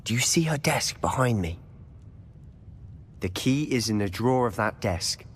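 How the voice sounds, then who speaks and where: An actor playing a cocky young wizard student with a smooth, conspiratorial, self-assured manner. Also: male voice, medium pitch, expressive, measured pace, long reverb tail. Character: cocky teenage wizard student, scheming, confident. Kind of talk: video game cutscene acting.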